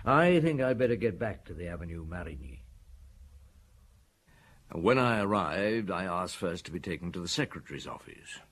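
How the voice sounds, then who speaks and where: An actor playing a seasoned, reserved police chief inspector in an audio drama, with measured, understated delivery. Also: male voice, low voice, expressive, a bit bright, heavily acted. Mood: matter-of-fact. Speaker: lead actor and narrator. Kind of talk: audio drama acting and first-person narration.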